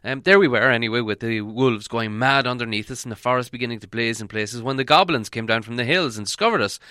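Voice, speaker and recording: A man's voice: medium pitch, fast talker, pushed and bright, clear, resonant tone, dry recording, clean studio sound, lightly acted. A man voicing an old wizard in a storybook, apologetic and crafty, rambling along with hesitant stammers. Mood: modest, hesitant.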